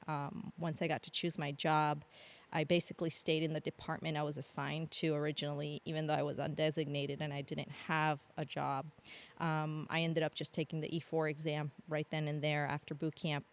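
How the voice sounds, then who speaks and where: Low-voiced chatty woman guest. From grew up in Argentina. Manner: chatty, laughs often, many ums. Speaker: podcast guest, Air National Guard staff sergeant. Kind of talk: casual interview storytelling.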